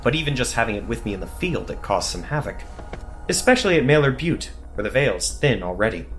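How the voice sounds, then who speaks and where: A man playing a cocky, foul-mouthed covert government agent in a horror audio drama, wisecracking and excitable. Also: medium pitch, brisk, faint music behind, close to an everyday voice. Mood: wry, conspiratorial.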